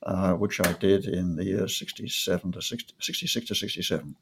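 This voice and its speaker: An older man from North Wales, answering interview questions in a measured way with frequent ums and restarts. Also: low-pitched, gravelly, a little hiss or hum. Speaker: guest economist. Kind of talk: conversational interview.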